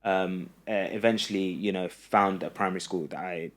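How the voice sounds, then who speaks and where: Earnest deep-voiced young man, halting. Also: steady tone, a bit bright. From Egypt. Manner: earnest, halting, many fillers and restarts. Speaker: podcast guest, running content creator. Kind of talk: casual interview chat.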